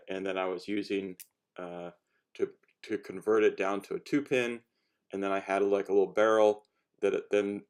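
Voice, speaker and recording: A man giving a casual how-to talk as a hobbyist showing homemade gear, rambling and hesitant, often breaking off to restart. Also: low voice. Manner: rambling, hesitant, self-interrupting.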